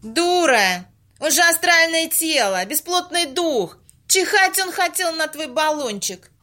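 Woman playing a grumbly bossy séance organiser, high voice, snippy, audio play style. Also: lively intonation, unhurried, pushed and bright, heavily acted. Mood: irritated. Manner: snippy, colloquial, instructing. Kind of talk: amateur audio play acting.

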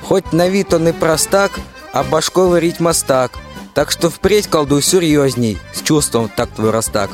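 A man's voice: a little high, expressive, unhurried, soft background music. A man playing a complaining, scheming general with folksy bluster in a rhymed fairy-tale radio play. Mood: complaining, urging. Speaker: student radio-play actor.

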